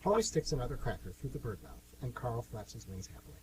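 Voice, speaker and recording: A man's voice: mid-range voice, big pitch swings, fast, rough recording. A man hosting and narrating a scripted amateur radio play. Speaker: host and narrator. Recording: amateur radio with clear hum noise.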